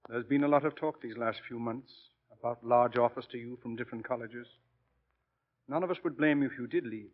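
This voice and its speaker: Actor as gentle fatherly priest, dull old radio. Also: mid-range voice, brisk, close to an everyday voice. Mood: gentle, sincere. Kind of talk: 1940s radio acting. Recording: dull 1940s radio broadcast.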